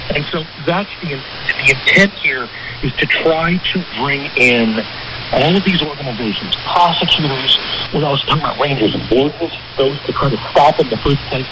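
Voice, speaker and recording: A man explaining things in a radio interview, emphatic and repeating phrases for weight, on a shortwave broadcast. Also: a little high. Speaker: interview guest, criminal defense attorney. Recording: very noisy shortwave radio reception. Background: loud radio static.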